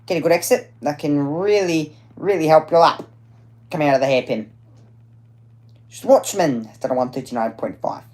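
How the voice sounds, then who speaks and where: A woman giving live commentary on a motorsport qualifying session, chatty and quick to correct herself, with plenty of fillers along the way. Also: low voice, a little mellow.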